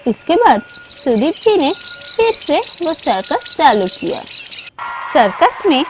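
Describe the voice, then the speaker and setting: A woman's voice: sits high, big pitch swings, slow, over music. A woman narrating a scripted children's story as a storyteller. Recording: loud birdsong and ambience behind.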